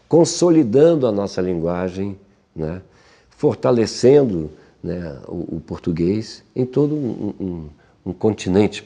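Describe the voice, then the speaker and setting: Deep husky man reflecting. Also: mellow. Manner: reflective, frequent tag questions. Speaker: interviewee. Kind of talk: documentary interview.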